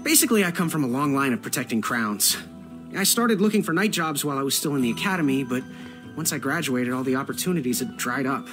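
An actor playing an earnest, polite young would-be knight in an animated adventure game, awkwardly hopeful. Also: male voice, medium pitch, fast talker, natural voice. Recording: music behind the voice.

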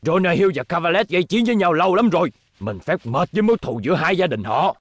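A man's voice: high-pitched, lively intonation, quick pace, no echo, clean studio sound. A man dubbing an elderly, authoritative and exasperated professor in a cartoon, speaking emphatically. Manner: stern, emphatic, explanatory.

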